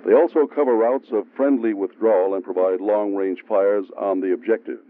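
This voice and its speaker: A man giving steady, measured scripted narration for a military training film. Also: fairly low pitch. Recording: tinny phone-like sound from an old training film, faint music.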